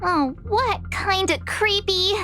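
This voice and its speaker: A woman's voice: very high-pitched, takes it slowly, pushed and bright, heavily acted. A woman voicing a bubbly, impulsive teenage girl adventurer in a video game. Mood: uneasy, confused. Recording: music behind the voice.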